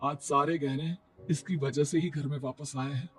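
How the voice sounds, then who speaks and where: A man's voice: on the high side, fairly even intonation, normal speed, lightly acted. A man playing the earnest adult son of a family in a cartoon story. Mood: admiring.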